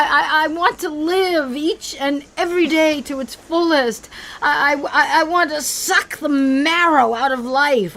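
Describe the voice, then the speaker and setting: A very high-pitched woman in her late sixties in an audio comedy. She is a restless wife and mother who gushes and stammers through grand, heartfelt declarations. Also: a bit bright. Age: 60s. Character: restless, gushing 68-year-old wife and mother reinventing herself. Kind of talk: comedy audio play acting.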